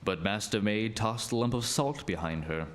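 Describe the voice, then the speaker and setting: Low-voiced male storyteller. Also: moderate pace. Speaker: narrator. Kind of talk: scripted storytelling narration.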